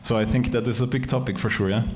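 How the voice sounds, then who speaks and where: A man's voice: medium pitch, fairly even intonation, fast. A man from Austria, a native German speaker, talking through his points on an industry panel, often ending phrases with "yeah". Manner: conversational, tags statements with "yeah". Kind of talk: conference panel discussion.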